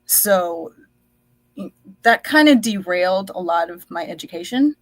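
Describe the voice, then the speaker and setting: A woman born in the United States whose first language was Dutch, reflectively telling her life story as a guest, with many pauses and fillers. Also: fairly low pitch, animated, no room sound.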